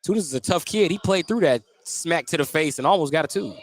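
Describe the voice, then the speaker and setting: A man's voice: fairly high pitch, fast. A man calling a live basketball game as the radio play-by-play announcer, describing the action in clipped running phrases.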